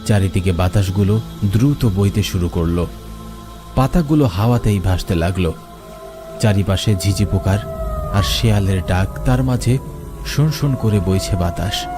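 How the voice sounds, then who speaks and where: A man's voice: low-pitched, moderate pace, pauses often. A man narrating a first-person horror story in a dramatic, suspenseful way. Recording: music bed under the voice.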